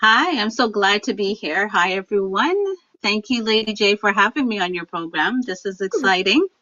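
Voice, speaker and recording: A woman's voice: medium pitch, moderate pace, pushed and bright, no room sound, dull audio. A woman guest speaking in an upbeat, chatty way with some fillers, in a casual interview.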